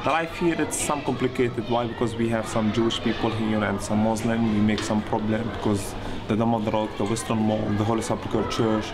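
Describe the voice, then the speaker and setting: A man's voice: on the low side, fairly even intonation, brisk, hardly any pauses, noisy background. A young man in his twenties, a shopkeeper, speaking bluntly in broken English. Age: 20s. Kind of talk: street interview answer.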